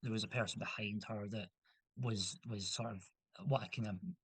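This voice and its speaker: A man's voice: on the low side, fairly even intonation, moderate pace, breathy edge, no echo. A young man from Scotland, a guest in a casual interview, talking in a rambling way with many restarts and fillers.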